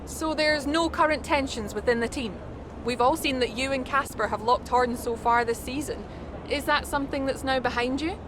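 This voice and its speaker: An actress plays a brisk female sports reporter in a game cutscene. Her voice is high, upbeat and professional as she probes, with clear outdoor noise behind. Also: lightly acted. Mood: probing. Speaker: interviewer.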